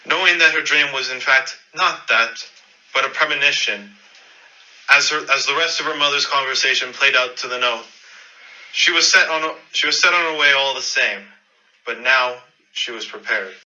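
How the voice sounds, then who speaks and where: A man's voice: medium pitch, normal speed, bright, forceful tone, dull audio. A man narrating a scripted fairy-tale radio play in a dramatic storytelling manner.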